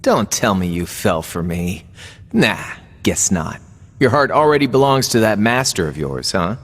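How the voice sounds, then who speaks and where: A man's voice: lowish voice, big pitch swings, a little slow. A man playing a cocky, lazy young rogue in a video game, talking in a teasing, nonchalant drawl. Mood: smug teasing. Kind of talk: video game cutscene acting. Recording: long hall reverb.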